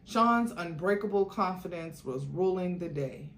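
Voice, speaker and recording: A woman host reading a book aloud as theatrical narration, with expressive asides. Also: on the low side, a little slow. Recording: echoey room, faint background noise.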